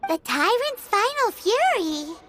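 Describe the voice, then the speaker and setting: Squeaky little fairy girl, very high. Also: slow pace, full character voice. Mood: amazed. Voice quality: squeaky, babyish. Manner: excitable, speaks of herself in third person. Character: tiny childlike floating fairy companion girl, eager and chatty. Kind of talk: video game voice acting. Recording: music behind the voice.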